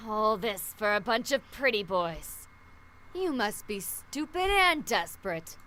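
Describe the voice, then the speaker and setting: A woman's voice: medium pitch, animated, slow, pushed and bright, slight background noise. A woman voicing a commanding, impatient female devil in a visual novel game, biting and contemptuous. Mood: scornful.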